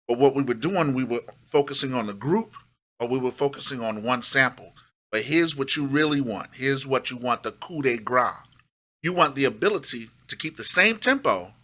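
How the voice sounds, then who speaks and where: A man's voice: mid-range voice, pushed and bright. A middle-aged man giving a casual, step-by-step music production tutorial, emphatic and repeating his key phrases.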